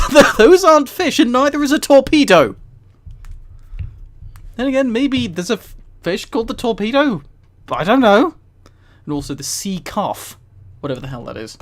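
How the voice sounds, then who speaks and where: A streamer reading aloud on a live stream with casual, amused commentary and incredulous, mildly sweary asides. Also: medium pitch. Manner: amused, often laughing while reading. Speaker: streamer and host.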